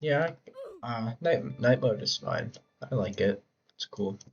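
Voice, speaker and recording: A man streaming a game in casual chat who rambles with fillers and restarts, his voice hoarse from talking. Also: medium pitch, expressive, slow, slight background noise. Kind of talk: casual live-stream chat while playing. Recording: dull lo-fi mic with faint keyboard typing.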